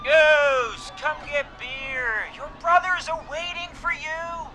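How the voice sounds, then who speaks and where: Very high-pitched rowdy young frat boy. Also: steady tone. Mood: boisterous, insistent. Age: young adult. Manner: boisterous slangy drawn-out party-boy talk. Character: rowdy, beer-loving young male fraternity party boy. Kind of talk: video game voice acting. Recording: noisy call with loud party crowd.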